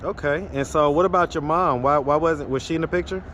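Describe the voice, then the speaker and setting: A man's voice: fairly high pitch, fairly quick, fairly bright tone. A man interviewing people on the street, casual, often saying okay and laughing. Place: city street outdoors. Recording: outdoor street with traffic.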